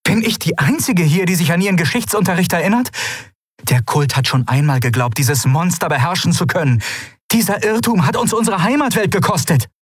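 A fierce, imperious woman ruler snaps out commands in a voice pushed high and tense, as a male narrator puts her on. Her words come in sharp bursts, husky and close in a dry room. It is storybook reading with a lively, swinging delivery. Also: normal speed, no room sound. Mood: commanding.

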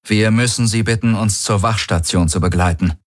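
A man's voice: fairly low pitch, normal speed, no echo, clean studio sound, pitched above the performer's own voice, natural voice. A man voicing a commanding, formal female alien guard captain with a barking delivery in an audiobook. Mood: stern, formal. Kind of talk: audiobook character acting.

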